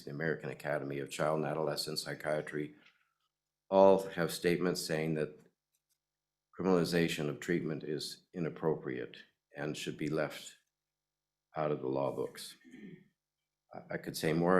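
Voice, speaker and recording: An older man, a retired pediatrician with a gravelly voice and a cold, giving earnest public testimony to a committee. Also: rumbling low. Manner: earnest, hesitant with fillers. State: has a cold. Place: legislative committee hearing room.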